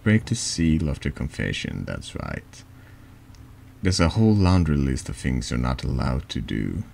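A man's voice: a bass voice, very animated, a little slow, creaky, a little mellow, full character voice. A man voicing a snide, needling man in a confessional for a horror text game. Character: taunting, insinuating man in a confessional. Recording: noticeable background noise.

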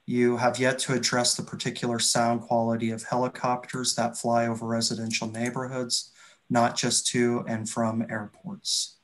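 Man moderating in an even, flat tone. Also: mid-range voice, moderate pace, low-quality recording. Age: middle-aged. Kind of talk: reading out audience questions in a webinar. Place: indoors on a video call. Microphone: computer video-call microphone.

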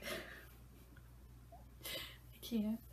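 Young woman vlogging from her bedroom, kinda stuffy congested voice, slow easy drawl, faint hiss. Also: a little mellow. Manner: chatty, loose and swearing freely. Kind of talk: casual chat to camera. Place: small bedroom.

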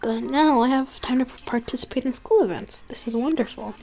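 A woman voicing a reserved, polite, thoughtful schoolgirl in a soft, reflective manner for a game story. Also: high voice, a little fast. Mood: pensive.

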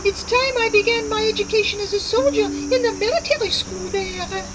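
High-pitched, grandiose little boy played by a woman. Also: moderate pace. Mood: friendly, earnest. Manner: grandiose, formal declarations. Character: brave, earnest eight-year-old French aristocrat boy puppet. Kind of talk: puppet show acting. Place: outdoor puppet theater at a reenactment. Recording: very noisy outdoor recording with insects.

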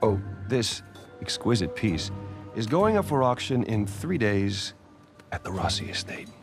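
Game role: scrappy American treasure hunter, persuasive, halting speech, fry in a fairly low voice. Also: male voice, very animated, music in the background, exaggerated voice. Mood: sly, coaxing. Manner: casual, halting, coaxing. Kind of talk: naturalistic video game acting.

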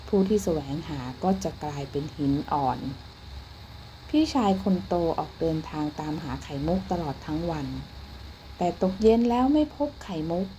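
A woman's voice: mid-range voice, noisy background. A woman narrating a scripted children's bedtime fairy tale as a storyteller.

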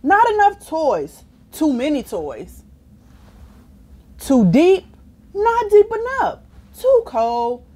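A woman's voice: high-pitched, big pitch swings, takes it slowly, pauses often, dark tone, voice pushed up, full character voice. A woman voicing a stubborn, dramatic, cheeky storybook pigeon with exaggerated, whiny delivery. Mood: complaining, fussy. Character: stubborn, dramatic, cheeky male pigeon who hates baths. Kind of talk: children's storybook character reading.

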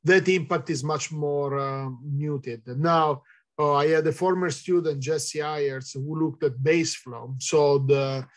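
A man's voice: on the high side, steady tone, slow pace. A middle-aged man with an Italian accent answering audience questions in an online talk, hesitant with many fillers, heard over a video call. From Italy. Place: indoors, virtual background.

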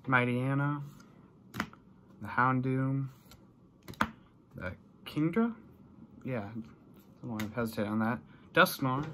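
Young American male vlogger, mid-pitched, casual and rambling, bedroom recording with audible noise. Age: young adult. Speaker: vlogger showing a collection haul. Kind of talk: casual chat to camera. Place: small bedroom, indoors.

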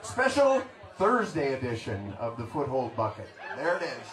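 A man's voice: mid-range voice, huge pitch swings, normal speed. A middle-aged man fronting a band, chatting to the crowd between songs on a tavern stage with chuckling asides. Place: small tavern stage, indoors. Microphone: stage vocal mic, picked up by a camera in the room. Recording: echoey bar, distant, band and crowd noise.

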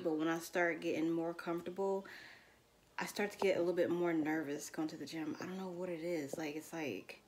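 A woman's voice: fairly low pitch, a little hiss or hum. A young woman chatting casually to her phone camera at home and in her car, rambling with lots of "like" fillers. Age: young adult. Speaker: vlogger. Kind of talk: casual vlog chat. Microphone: handheld phone camera.

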